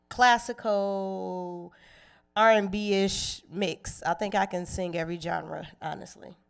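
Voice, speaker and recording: A young woman with a Cleveland accent talks in a relaxed, playful way and laughs easily as an interview guest. Her voice is smooth and clear and sits a little low. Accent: Cleveland, Ohio. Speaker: guest singer. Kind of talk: casual interview chat.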